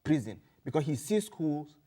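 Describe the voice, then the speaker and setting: A man's voice: a little high, sing-song, moderate pace, mellow. A man from Nigeria with a Nigerian accent, a guest in a casual interview, talking earnestly and often repeating words as he searches for them.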